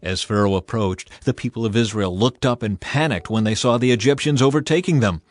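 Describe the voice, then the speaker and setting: A man's voice: fairly low pitch, fairly quick. A man narrating scripture in a scripted, dramatized audiobook style. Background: music.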